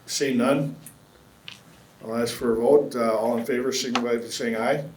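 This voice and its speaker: A man chairing a county board meeting, speaking in a measured way with many fillers and restarts. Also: medium pitch, steady tone. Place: council chamber. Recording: echoey meeting room with faint hum.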